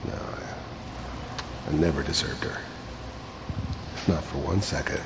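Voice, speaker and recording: A man's voice: very deep voice, fairly even intonation, slow, noisy background. An actor playing a world-weary, remorseful bounty hunter and father in a bitter, self-reproaching way for a video game. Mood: regretful. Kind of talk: dramatic game voice acting.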